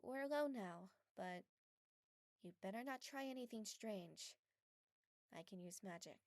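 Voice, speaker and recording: A woman's voice: mid-range voice, lively intonation, a little slow, a little mellow, no echo. Woman as an earnest young mage girl, dry recording. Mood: guarded, stern. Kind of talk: anime fan dub acting.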